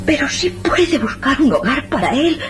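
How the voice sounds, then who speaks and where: A woman acts a frail, self-sacrificing widow on her deathbed in an old radio serial. Her voice is fairly high and very expressive, with slow, tearful pleading. Music plays faintly behind her. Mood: earnest, resigned. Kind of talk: melodramatic old Spanish radio serial acting.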